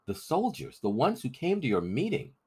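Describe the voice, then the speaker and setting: A man's voice: mid-range voice, huge pitch swings, normal speed, dark tone, husky, voice pushed up. A man voicing an earnest, anxious peasant boy with an urgent manner, in a storybook reading. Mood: alarmed, urgent.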